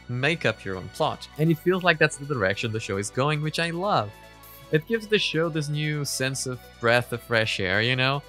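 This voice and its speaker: A man in his twenties giving casual, sweary reaction commentary in a halting way, close on a studio-style mic at home. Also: medium pitch, pushed and bright. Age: 20s. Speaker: reaction video host. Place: small home room, indoors. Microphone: microphone on boom arm, headphones.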